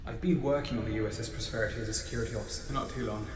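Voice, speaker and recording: A man's voice: lowish voice, steady tone, very fast, reverberant, a little hiss or hum, natural voice. A man playing a nervous, scatterbrained young starship security ensign in a sci-fi audio drama, stammering and apologetic. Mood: wry reflective. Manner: stammering, flustered.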